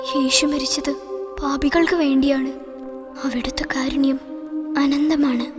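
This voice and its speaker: A woman with a Malayali accent playing a devout, tender fourteen-year-old girl in a cartoon, soft and fervent. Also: high-pitched, flat delivery, unhurried, over loud music. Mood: earnest, pained.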